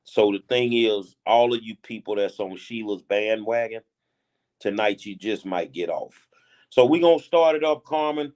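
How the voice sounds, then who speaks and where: A man in his fifties hosts an interview show, speaking casually and with emphasis as he poses questions to his guest. His grammar is loose and conversational, and his voice sits a little on the low side. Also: moderate pace, darkish tone. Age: 50s. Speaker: show host.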